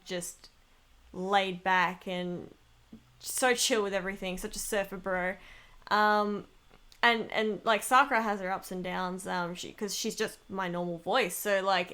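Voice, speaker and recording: A woman's voice: mid-range voice, bright, forceful tone, smooth. Informal, chatty female voice actor. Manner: giggly, informal, rambling. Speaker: voice actor being interviewed. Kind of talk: casual interview chat.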